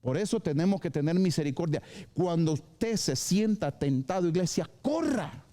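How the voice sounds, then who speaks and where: A male pastor preaching a live sermon at a church service. He is lively, acts out short dialogues and drops English phrases into his preaching. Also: on the high side, normal speed.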